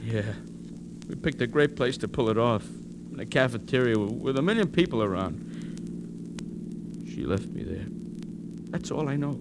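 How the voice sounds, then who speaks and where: Man acting a gravelly, frightened young ex-soldier. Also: medium pitch, animated, moderate pace, pauses often, natural voice. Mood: glum, haunted. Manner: anxious, defeatist. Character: frightened, despairing young ex-soldier husband in trouble. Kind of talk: 1940s radio drama acting. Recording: hissy, noisy vintage radio recording.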